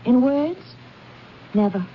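An actress playing a sorrowful, dignified young woman in a 1970s radio drama, speaking in an earnest, measured, formal way. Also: high-pitched, sing-song, heavily acted. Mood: tender resignation. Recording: dull radio broadcast with faint noise.

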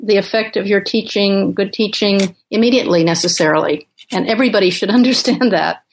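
Thoughtful older woman, halting speech. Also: mid-range voice, a little hiss or hum. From Uganda. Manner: thoughtful, hesitant with many fillers. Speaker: author and professor. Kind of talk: podcast interview.

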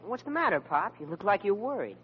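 A sweet loyal boy, high swoopy voice, old radio comedy. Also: very animated, brisk. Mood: reassuring. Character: loyal, sweet young son of the family. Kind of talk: 1940s radio sitcom acting. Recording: muffled old radio broadcast with hum.

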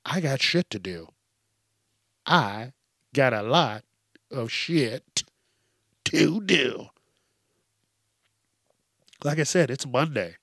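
A man's voice: medium pitch, expressive, very slow, pauses often, a bit bright, no room sound. A congested man hosting a solo podcast, talking casually in blunt, emphatic, profanity-laced rants into a close microphone. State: congested from allergies. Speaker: podcast host. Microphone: close hypercardioid podcast microphone.

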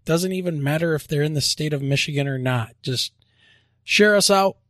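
A man co-hosting a podcast, chatty and upbeat in casual talk, quick to laugh. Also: on the high side, fairly bright tone, dry recording, clean studio sound. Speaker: podcast co-host.

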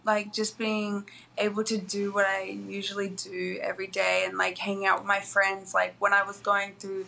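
A young Australian woman in her twenties, a college basketball player answering interview questions softly and hesitantly, with many fillers. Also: medium pitch, monotone, moderate pace, creaky, slight background noise, rough recording. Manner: soft, hesitant, lots of "like" and "um".

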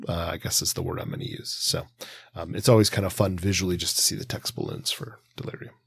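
Male podcast host, lower register, wordy and searching, analytical but casual, recorded dry and close. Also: fairly even intonation, fast, gravelly, husky, no room sound. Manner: wordy, hesitant, thinks aloud in long winding sentences. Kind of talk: casual analytical discussion of comics.

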